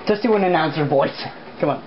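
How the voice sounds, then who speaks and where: A woman with a fairly low voice reads a story aloud in a casual, amateur way. She stumbles and drops in self-deprecating asides. The sound is dull and lo-fi, with clear background noise. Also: quick pace. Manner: informal, self-deprecating, stumbles over hard words. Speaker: host, amateur fan fiction reader.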